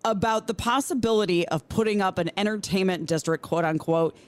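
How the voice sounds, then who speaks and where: A woman's voice: mid-range voice, a little fast. A woman with an American accent hosting a radio talk show in a chatty style.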